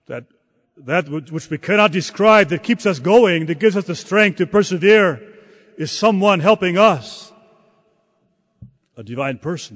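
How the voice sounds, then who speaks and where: A man preaching a sermon in a slow, halting delivery, often repeating words as he searches for the next phrase. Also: on the high side. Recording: long hall reverb.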